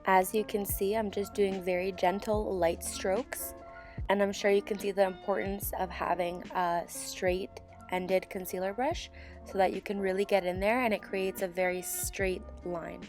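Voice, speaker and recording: A young woman, hoarse and sick with a sore throat, calmly talking through a beauty tutorial as a voiceover. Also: medium pitch, a little slow, faint music behind. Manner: calm step-by-step instruction. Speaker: beauty channel host. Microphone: voiceover mic.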